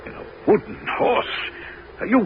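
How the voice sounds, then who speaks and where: An actor playing a forceful Greek king bent on war in a 1970s radio drama. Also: moderate pace, natural voice. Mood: insistent. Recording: dull, faintly noisy old radio recording.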